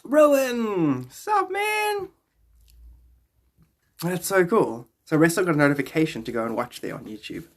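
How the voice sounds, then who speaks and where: A man's voice: high voice, very animated. A man in his thirties with a South African accent, chatting casually and playfully in slang as he hosts a live stream, close to a phone in a small room. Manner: playful, slangy, greeting viewers in drawn-out exclamations. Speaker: live streamer and podcast host.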